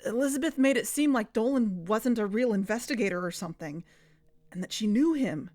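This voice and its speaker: A woman's voice: on the high side, lively intonation, brisk, mellow. A woman playing a guarded, distrustful investigator in an audio drama, with a terse, serious, naturalistic delivery. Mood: doubtful.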